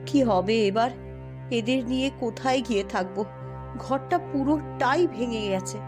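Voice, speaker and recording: A woman acts out an anxious villager in a cartoon drama. The voice is high and plaintive, with dramatic, despairing lines over a music bed. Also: moderate pace, close to an everyday voice. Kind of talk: scripted cartoon dialogue.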